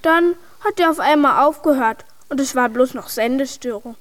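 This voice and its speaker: A woman playing a small, eager, admiring child in a German radio drama, with a wide-eyed, pushy, excitable manner. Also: high-pitched, moderate pace, close to an everyday voice.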